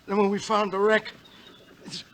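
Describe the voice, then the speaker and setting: A man acting a dazed, greedy treasure hunter in a 1950s radio drama, groggy, halting and desperate. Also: high-pitched, completely flat delivery, fairly bright tone. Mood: dazed confessing. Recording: noisy vintage 1950s radio broadcast.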